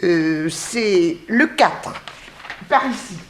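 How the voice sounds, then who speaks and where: An actress playing a talkative, obliging boarding-house keeper, confiding and exclamatory, in a 1960s French radio serial. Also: female voice, on the low side, expressive, extremely slow.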